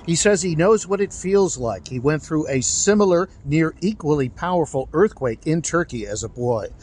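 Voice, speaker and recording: A man filing a scripted radio news report in an even, steady manner. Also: fairly high pitch, moderate pace, slight background noise.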